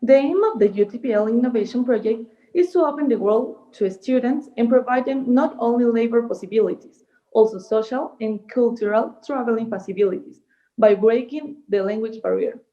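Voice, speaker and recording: A female moderator reading a prepared introduction in a formal, slightly halting manner over a video call. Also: on the high side, mellow, highs cut off. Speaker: webinar moderator.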